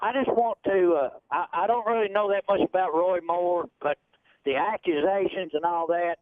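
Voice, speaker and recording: A man's voice: sits high, no echo. Male caller on a phone line. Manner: halting, frustrated.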